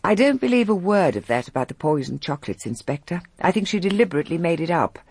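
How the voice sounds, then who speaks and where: An actress playing a bold, worldly upper-class English lady in a radio drama, husky-voiced, brisk and frank. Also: fairly low pitch, sing-song, noisy background. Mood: guarded. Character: bold, reckless, worldly aristocratic English mother.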